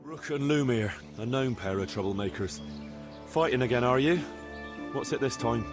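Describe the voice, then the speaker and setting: An actor playing a practical, firm and plain-spoken medieval bailiff in a video game. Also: male voice, mid-range voice, normal speed, over music, lightly acted. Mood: firm, stern. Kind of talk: video game cutscene acting.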